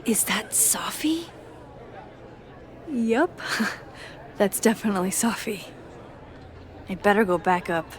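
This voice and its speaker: A woman's voice: mid-range voice, expressive, slow, long pauses, a crowd in the background. A woman playing a friendly, curious young woman in video game dialogue. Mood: concerned.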